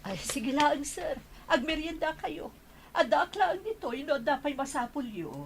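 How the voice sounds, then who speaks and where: A woman's voice: high-pitched, lively intonation, measured pace, background noise. An actress playing an older, motherly house caretaker in an Ilocano radio comedy drama, deferential to her employer and grumbling in private. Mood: deferential, eager.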